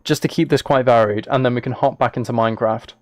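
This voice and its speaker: A man's voice: mid-range voice, steady tone, quick pace, no room sound, clean studio sound. A man live streaming, talking casually and a little hesitantly to his viewers as he reads chat and reacts.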